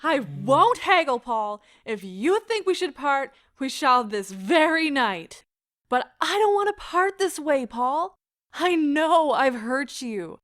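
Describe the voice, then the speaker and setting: A woman playing an anguished, proud wife in an audio drama, speaking with deliberate emotional intensity. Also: sits high, sing-song, a bit bright, no echo, clean studio sound, heavily acted. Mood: pleading. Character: anguished, proud wife pleading as her marriage ends.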